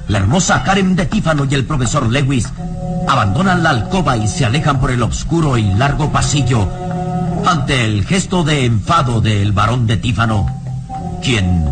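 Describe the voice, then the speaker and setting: A man's voice: medium pitch, animated, a little slow. A man narrating a radio serial in a dramatic, scripted style. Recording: radio serial, loud music underneath.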